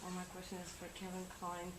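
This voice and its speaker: A woman with a fairly low voice puts a question from the audience in a halting, casual way. There is clear noise around her. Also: monotone. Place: audience at a public event. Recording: clear background noise in venue.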